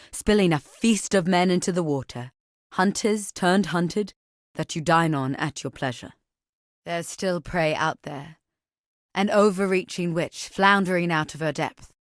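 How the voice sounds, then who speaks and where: A proud warrior woman from a fantasy video game. Her voice sits on the low side, and she speaks sternly, with measured, formal solemnity. The recording is dry, with no room sound. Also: dry recording. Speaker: game character voice. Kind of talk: scripted game dialogue.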